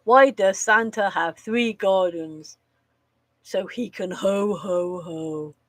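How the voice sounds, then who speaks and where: Woman, slightly nasal from a cold, unhurried, dry sound, casual streamer, giggly, swears offhand. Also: medium pitch, dry recording. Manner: giggles at her own jokes, swears casually, groans at bad puns. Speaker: live streamer. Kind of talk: casual live-stream chat telling corny jokes.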